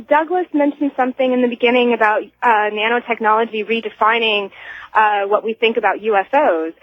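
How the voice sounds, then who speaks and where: A woman's voice: fairly high pitch, no echo. An American woman calling a radio show, asking a question in a conversational way. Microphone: telephone. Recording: dull lo-fi phone call to radio.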